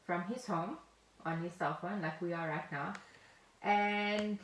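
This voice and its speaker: A woman's voice: on the low side, steady tone, measured pace. A South African woman chatting casually as a podcast co-host, telling stories in a jokey way. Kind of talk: casual chat. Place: at home, indoors. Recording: roomy echo, faint noise, remote call.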